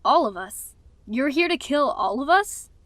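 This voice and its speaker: A woman voicing a sardonic, guarded young dragon with a dry, sarcastic delivery for an animated fan dub. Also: high voice, measured pace, dry recording. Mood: sarcastic, challenging.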